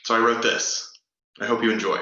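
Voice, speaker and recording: A man's voice: lowish voice, flat delivery, brisk. A young man, a playwright, giving a spoken introduction to his play. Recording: dull, echoey room sound.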